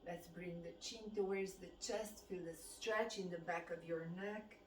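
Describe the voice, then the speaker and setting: A woman from Romania with a Romanian accent, a fitness instructor guiding a qigong class with calm cues timed to the breath. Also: fairly low pitch, unhurried, slight background noise. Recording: roomy sound from a distant mic.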